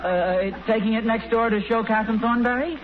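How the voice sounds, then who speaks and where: A man's voice: extremely high pitch, fairly even intonation, brisk. An American actor playing an amiable, flustered, hesitant father in a 1940s radio sitcom. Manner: hesitant, stammering. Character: amiable, flustered sitcom dad with a conspiratorial streak. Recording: muffled, noisy 1940s radio broadcast.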